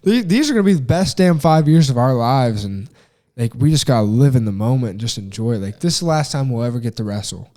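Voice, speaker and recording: Young male co-host, warm low-brightness voice, rambling casual chat. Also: animated, quick pace. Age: young adult. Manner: earnest, rambling, heavy use of "like" and "dude". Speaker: podcast co-host.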